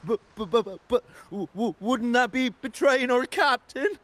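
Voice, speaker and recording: An actor playing a timid, slow-witted pirate sidekick in a video game, hesitant and stuttering. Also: male voice, very high voice, sharp tone, heavily acted. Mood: nervous doubt. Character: dim, timid, stammering male pirate sidekick. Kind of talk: video game character acting.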